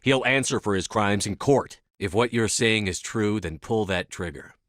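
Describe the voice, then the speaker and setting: A man acting in a video game as a commanding, resolute space soldier, speaking firmly with measured authority. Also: on the low side, fairly bright tone, no room sound, clean studio sound, close to an everyday voice. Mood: firm, persuasive. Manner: firm, authoritative, measured delivery. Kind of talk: scripted game dialogue acting.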